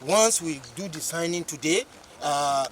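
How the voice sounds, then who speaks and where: A man, an official giving unscripted remarks at a signing, halting and repeating words as he searches for them. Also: a little high, animated, background noise.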